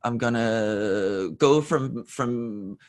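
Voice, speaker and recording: A man in his forties from Denmark, a native Danish speaker, talking candidly in an interview in a halting, self-correcting way with a husky, gravelly voice. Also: mid-range voice, a little mellow. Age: 40s. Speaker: interview guest.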